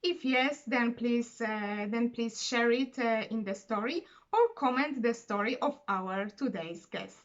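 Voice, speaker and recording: A woman's voice: a little high, a little slow, hardly any pauses. Hesitant woman hosting a video interview. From Poland. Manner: hesitant, many fillers and restarts. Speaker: interviewer and channel host. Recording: dull lo-fi video call audio.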